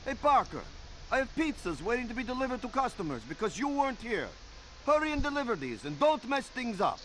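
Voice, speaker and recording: A man's voice: very high-pitched, sing-song, a little fast, a bit bright, slight background noise, full character voice, poor audio. A man playing a demanding, irritable pizza shop boss in a video game. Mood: irritated, bossy.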